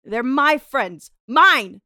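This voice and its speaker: A woman's voice: high voice, animated, extremely slow, sharp tone, no echo, studio quality, exaggerated voice. A woman playing a scornful, taunting gold-digging wife in a theatrical reading of a 1930s radio melodrama, with slangy delivery. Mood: defiant fury. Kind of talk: 1930s radio horror melodrama table read.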